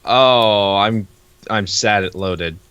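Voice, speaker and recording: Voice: low-pitched, slow, a bit bright, clean tone, no room sound. A co-host chatting casually and reacting to a webcomic with sarcastic, crude remarks. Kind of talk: casual chat.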